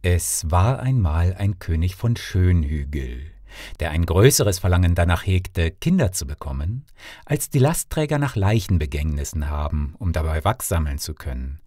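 A man's voice: deep voice, lively intonation, moderate pace, mellow, no room sound, clean studio sound. A man narrating a fairy tale as an audiobook storyteller, reading expressively from a script.

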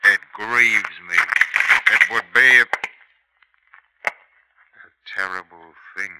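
An actor playing a brooding, menacing older outlaw father in a 1950s radio drama. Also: slow pace. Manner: low, brooding, threatening. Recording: noisy old radio broadcast.

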